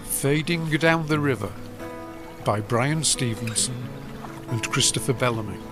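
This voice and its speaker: Formal guy narrator introducing an audio play, medium pitch, big music behind him. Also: measured pace. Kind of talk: scripted drama introduction.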